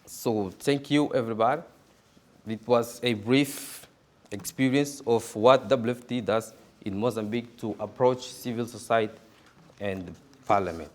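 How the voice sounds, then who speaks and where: A man giving a conference presentation, halting and repeating words often. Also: medium pitch. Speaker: conference speaker.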